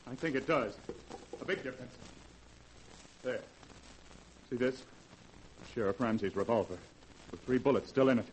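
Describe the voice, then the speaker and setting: An actor playing a principled scientist who talks in an earnest, urgent way, in 1940s radio-drama style. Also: male voice, medium pitch, moderate pace, pauses often, a little hiss or hum, natural voice, low-quality recording.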